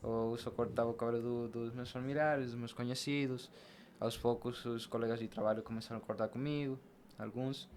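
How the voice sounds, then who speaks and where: A man's voice: medium pitch, steady tone, a little fast, a little mellow, a little hiss or hum. A man speaking as an interview guest, telling his experiences in a conversational podcast style. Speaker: podcast guest, barber and shop team leader.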